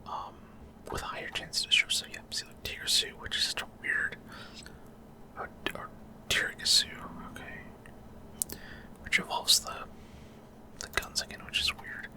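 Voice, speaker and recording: A man's voice: unhurried, pauses often, sharp tone. A man giving whispered ASMR gameplay commentary in a casual, rambling, slightly unsure way. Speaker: gaming ASMR video host.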